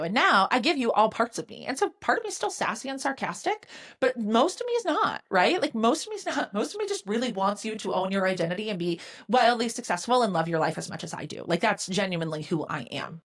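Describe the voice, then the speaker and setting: A woman's voice: fairly high pitch, fairly bright tone. A woman in her forties hosting a solo motivational podcast, candid and emphatic, often asking "right?" and swearing casually. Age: 40s. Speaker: podcast host and business mentor.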